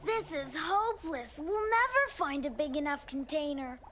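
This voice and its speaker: Very high, doubtful little cartoon girl. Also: sing-song, normal speed, loud music behind the voice. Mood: exasperated. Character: sensible, doubtful little girl, the sidekick friend. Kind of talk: children's cartoon acting.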